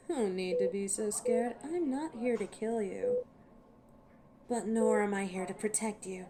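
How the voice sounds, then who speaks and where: A woman's voice: mid-range voice, a little slow, warm tone, natural voice. A woman voicing a cocky, ancient werewolf in an audio roleplay, teasing and menacing with a sardonic, impatient manner. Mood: stern warning. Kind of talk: scripted audio roleplay monologue to a listener.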